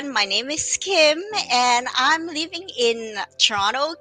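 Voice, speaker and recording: A woman's voice: fairly high pitch, pushed and bright, low-quality recording. A middle-aged woman, a native Vietnamese speaker, hosting a casual online live meeting over a video call. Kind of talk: casual livestream introduction.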